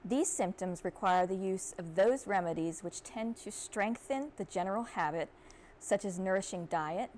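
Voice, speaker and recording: A woman reading an old treatise aloud in a formal, deliberate and measured way. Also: medium pitch, a little mellow, slight background noise. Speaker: university archivist, reader.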